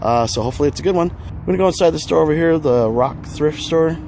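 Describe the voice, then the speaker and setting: A middle-aged man, hoarse from a cold, chatting casually with a raspy voice in a wry, rambling way as he vlogs his thrift-store hunt. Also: a little high, quick pace, a little mellow, a little hiss or hum. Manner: wry, rambling, full of fillers. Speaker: thrift-hunting vlogger. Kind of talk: casual vlog chat. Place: outdoors by stores, street traffic.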